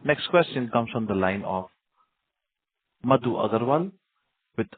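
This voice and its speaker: A man's voice: mid-range voice, lively intonation, a little slow, breathy edge. A man making formal scripted announcements as a conference call operator. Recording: muffled narrow phone line.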